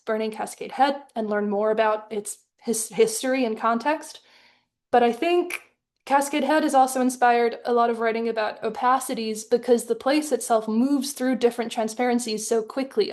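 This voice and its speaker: A woman from Hong Kong giving a prepared artist talk over a video call, speaking in a thoughtful, measured, articulate way. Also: a little high, darkish tone. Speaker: artist in residence presenting her animated film. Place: live online webinar.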